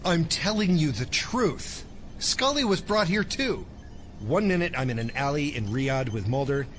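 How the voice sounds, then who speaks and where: A man's voice: on the high side, big pitch swings, unhurried, fairly bright tone, slight background noise. A man playing a confused, exasperated captive ex-agent defending himself in an audio drama. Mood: exasperated, insistent. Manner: insistent, exasperated.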